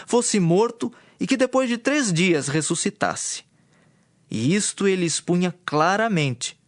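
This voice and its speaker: A man giving formal, measured scripted narration for a dramatized audio Bible. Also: sits high, no room sound, clean studio sound. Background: music.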